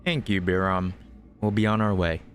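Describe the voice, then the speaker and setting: A man's voice: deep voice, flat delivery, moderate pace. A man giving casual, offhand, joking commentary as he plays a video game and reads dialogue aloud. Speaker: gaming streamer. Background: game music.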